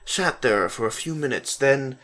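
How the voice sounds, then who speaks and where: A narrator giving an expressive, deliberate dramatic reading of a story. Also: medium pitch.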